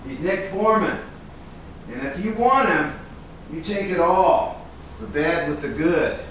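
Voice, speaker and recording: A man's voice: fairly high pitch, fairly bright tone. An actor playing a blunt, protective longtime friend of a troubled man in a staged play reading. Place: echoey indoor room at a staged reading. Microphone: distant microphone. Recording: very echoey, distant, noisy room.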